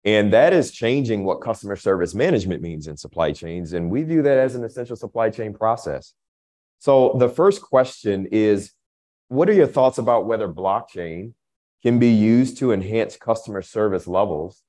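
Low-voiced man moderating a panel. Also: animated, moderate pace, warm tone.

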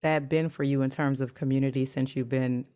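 A woman's voice: a bass voice, fairly even intonation, brisk, warm tone. A woman hosting a podcast, interviewing her mentee and affirming her answers with frequent encouragement. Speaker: podcast host, mentor.

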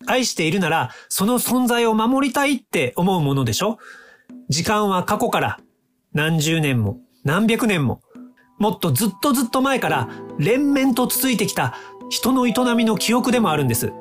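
An actor in an audio drama playing an earnest, polite young time-traveling inventor who explains things courteously. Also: male voice, high voice, sing-song, moderate pace, soft background music. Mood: impassioned, earnest.

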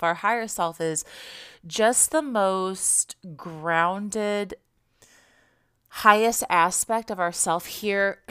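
A woman in her thirties talks through a solo podcast episode in a gentle, musing way, circling back on her words and asking questions aloud. Her voice sits a little low and stays smooth and even, though it sounds slightly congested and hoarse. The delivery is relaxed and reflective. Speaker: podcast host. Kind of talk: casual spiritual podcast monologue.

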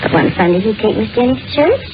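A woman's voice: on the high side, very animated, fast, background noise. An actress playing a gossipy, curious and easily frightened local woman, chatty and hesitant, in an old-time radio drama. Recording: muffled vintage radio recording with music.

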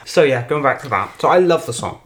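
A man talks casually and at a middle pitch. He rambles in a jokey, playful way, throwing in exclamations as he goes. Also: lively intonation, brisk, a little hiss or hum. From Wales. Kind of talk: casual chat.

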